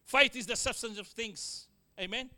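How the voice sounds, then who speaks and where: A man with a Fijian accent preaching a sermon, calling out amens to the congregation, mixing joking asides with exhortation and swinging from loud to quiet. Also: very high-pitched, normal speed. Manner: exhorting, with frequent amens and call-outs to the congregation.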